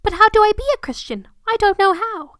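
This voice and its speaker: Storybook small boy acted by a woman, pitched way up, innocent, obedient, childlike, dry recording. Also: lively intonation, fairly quick, a bit bright, dry recording. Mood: puzzled. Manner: wide-eyed, childlike.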